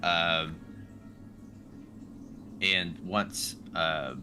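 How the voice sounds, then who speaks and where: A man talking casually and haltingly as a player in a tabletop roleplaying game, recapping his character in slangy, informal words. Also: low-pitched, music in the background. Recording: noisy home microphone, steady background noise.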